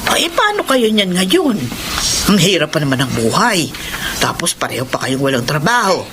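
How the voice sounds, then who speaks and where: Older Filipina busybody, radio drama: fairly low, very animated pitch, tactless, needling. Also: female voice, normal speed, background noise. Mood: prying. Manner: prying, gossipy, needling. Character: nosy, tactless older neighbour woman.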